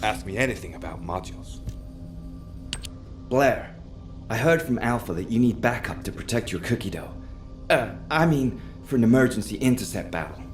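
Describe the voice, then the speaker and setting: An earnest engineer, played by a man for a video game, friendly and keen to explain. His mid-pitched voice rings in a roomy space with light music behind it. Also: lively intonation, moderate pace, close to an everyday voice. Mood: eager. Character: helpful, earnest male tech engineer, easily tempted by cookies.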